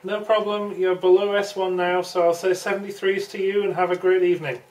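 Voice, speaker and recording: A woman's voice: fairly low pitch, flat delivery, brisk, a little mellow, rough recording. A woman talks casually as a ham radio operator in a two-way contact. A faint layer of radio noise sits behind her conversational speech. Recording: faint background radio hiss.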